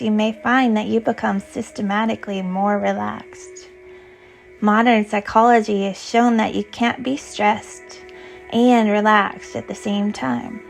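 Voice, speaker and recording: Female meditation guide, gentle and soothing, mid-pitched with some vocal fry, faint music beneath. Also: low-quality recording. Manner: soothing, gentle, unhurried instruction. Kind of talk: guided yoga nidra meditation.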